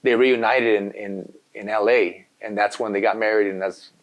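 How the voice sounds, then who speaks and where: A man's voice: lowish voice, fairly even intonation, moderate pace, poor audio. Laid-back man, native Spanish speaker. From Los Angeles. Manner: laid-back, rambling, full of "you know". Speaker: graffiti artist guest. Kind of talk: casual interview chat.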